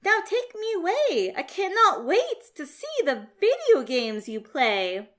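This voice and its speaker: A woman's voice: very high-pitched, wildly swooping pitch, slow pace, a bit bright, pitched above the performer's own voice, full character voice. A woman voicing a friendly, polite young boy cat who is cheerful and unafraid in a children's storybook. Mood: eager, delighted.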